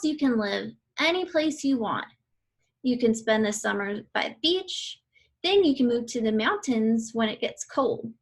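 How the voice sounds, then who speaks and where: A woman reading aloud an educational book for children in a deliberate, instructional way. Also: a little high, creaky, fairly bright tone. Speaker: read-aloud reader for children.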